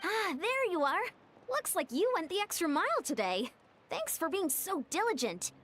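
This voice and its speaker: High-voiced, earnest little coach. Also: female voice, sing-song, normal speed, fairly bright tone, exaggerated voice. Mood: friendly. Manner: polite, instructive. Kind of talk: anime game voice acting. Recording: music behind the voice.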